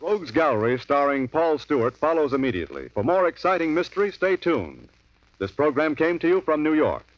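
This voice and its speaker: A man's voice: fairly high pitch, big pitch swings, a little fast, no echo. A man reading sponsor messages and show credits in an upbeat, emphatic announcing manner. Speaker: radio announcer. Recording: dull old radio broadcast with music.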